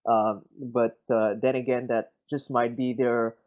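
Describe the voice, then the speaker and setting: A man from Quebec with a French accent, musing aloud in English, halting and thoughtful, often restarting his sentences. His mid-pitched voice comes through a narrow, tinny voice-chat connection. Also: little pitch movement. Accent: Québécois French. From Quebec City, Canada. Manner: hesitant, many fillers and restarts, thoughtful. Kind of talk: casual discussion.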